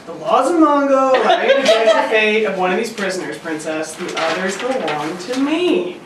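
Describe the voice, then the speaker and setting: A woman playing a boastful, commanding king of a winged race, with a mock-regal delivery that swings all over in pitch. It is a playful table read of a vintage radio serial. It is heard from a distance in an echoey room. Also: sing-song, normal speed, noisy background, lightly acted.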